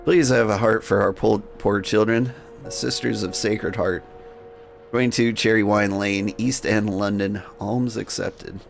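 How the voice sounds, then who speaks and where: A man with a fairly low, distinctly creaky voice hosts a game livestream over light music. He moves between reading out game text and casual, rambling asides with a joking streak. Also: steady tone, measured pace.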